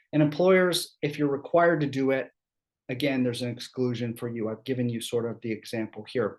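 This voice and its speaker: A man's voice: medium pitch, a little mellow. A man with a scratchy throat from a cold, giving an HR law seminar in a chatty way, often checking in with "right?". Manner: conversational, quick asides, keeps asking "right?". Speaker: employment law presenter. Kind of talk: HR seminar presentation.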